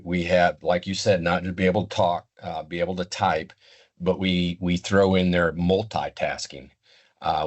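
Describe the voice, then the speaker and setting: An older man giving unscripted, explanatory interview answers in a deliberate, halting way. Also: sits low. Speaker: interview guest, retired law enforcement communications expert.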